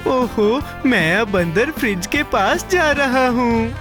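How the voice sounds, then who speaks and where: A high, childlike little boy from a kids' cartoon. He speaks slowly and plaintively, eager and impatient, with lots of exclaiming. Music plays softly underneath. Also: lively intonation. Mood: fretful.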